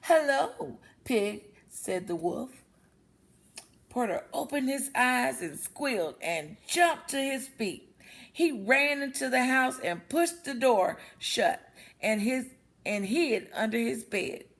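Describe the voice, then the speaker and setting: A woman's voice: a little high, animated, slow pace, fairly bright tone. A woman reading a children's story aloud expressively, as a storyteller for young listeners. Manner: explains words with questions to young listeners.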